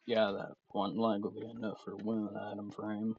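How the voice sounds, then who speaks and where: A man's voice: fairly low pitch, flat delivery, moderate pace, dull audio, a little hiss or hum. A man giving casual commentary while playing a game, thinking aloud as he goes. His voice is starting to tire. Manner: thinks aloud, meandering and self-talking. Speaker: let's play gamer.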